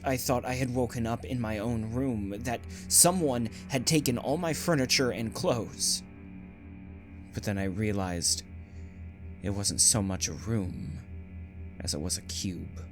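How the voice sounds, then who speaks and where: A man playing a frightened, brooding condemned prisoner, narrating his ordeal theatrically in a first-person audio drama. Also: lowish voice. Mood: frightened, desperate, then haunted, brooding. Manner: theatrical, anguished, swelling from hushed to urgent. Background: music.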